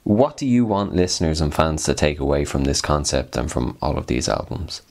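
A man's voice: a bass voice, sing-song, moderate pace, a little mellow. A man hosting a casual interview, asking long questions in a hesitant, rambling way. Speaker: podcast host, interviewer.

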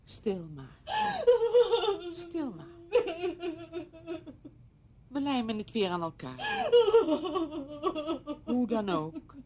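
A woman's voice: fairly high pitch, wildly swooping pitch, very slow, pauses often, a little hiss or hum, heavily acted. An actress playing an agitated, protective woman friend in a Dutch radio play, with emphatic, emotionally heightened delivery. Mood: soothing.

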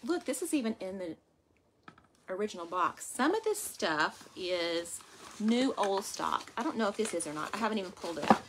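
A woman's voice: mid-range voice, sing-song, moderate pace, slight background noise. A woman, chatting to viewers as she unboxes thrift finds in an indoor workroom. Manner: chatty, addresses viewers as "y'all". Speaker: vlog host. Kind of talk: casual haul unboxing.

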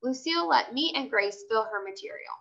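Animated, high-voiced woman reading a children's story. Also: fairly quick, vocal fry, slightly rough, highs cut off. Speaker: read-aloud storyteller.

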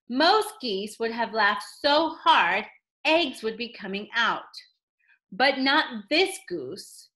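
Woman, high-pitched voice, very slow delivery, children's read-aloud, theatrical emphasis. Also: pushed and bright. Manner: theatrical, dramatic emphasis, addressing a child listener. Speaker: storyteller reading a picture book aloud.

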